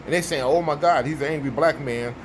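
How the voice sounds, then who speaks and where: A man with a baritone voice giving an emphatic, preachy opinion monologue, repeating his points for effect. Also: fairly quick, background noise. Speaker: vlogger.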